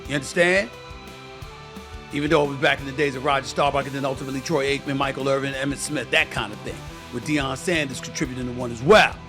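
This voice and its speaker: A man's voice: mid-range voice, steady tone, fast. A man giving opinionated sports-style commentary in an emphatic way, asking the listener rhetorical questions, in a played clip. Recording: clip played with music underneath.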